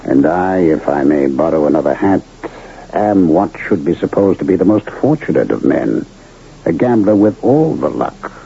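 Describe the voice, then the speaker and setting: An actor playing a smooth, cryptic travelling medicine man and charlatan illusionist in a radio drama, speaking in a courtly, theatrical manner. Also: sits low, big pitch swings, normal speed, mellow, exaggerated voice. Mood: confident showman. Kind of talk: 1980 radio drama acting. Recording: dull, noisy vintage radio broadcast.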